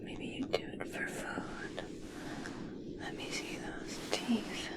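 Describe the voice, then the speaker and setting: A woman playing an obsessed, eccentric scientist in an ASMR roleplay, speaking intimately in a breathy whisper. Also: lightly acted. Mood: admiring, fascinated. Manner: slow, intimate, wistful storytelling. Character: obsessed, eccentric female human scientist fascinated by merpeople.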